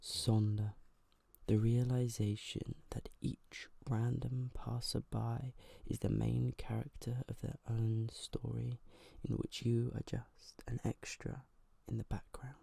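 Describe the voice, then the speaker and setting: Slow, breathy ASMR whisperer. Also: mid-range voice, flat delivery, no room sound. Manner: gentle, unhurried, hushed. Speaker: host of an ASMR video channel. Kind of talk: whispered ASMR, reading a book aloud. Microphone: close microphone.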